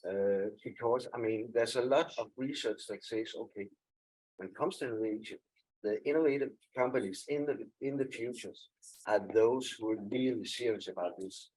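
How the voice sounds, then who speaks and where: A man on a panel talks casually, stopping and restarting as he goes. His voice sits at a middle pitch and has a husky edge. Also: normal speed, a little mellow, poor audio. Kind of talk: panel discussion.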